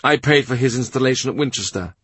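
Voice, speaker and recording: A man's voice: medium pitch, quick pace, a bit bright, slightly rough, no echo. A man voicing a shrewd middle-aged royal minister who talks in a dry, cutting, sardonic way. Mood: cool, sardonic. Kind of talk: audiobook character reading.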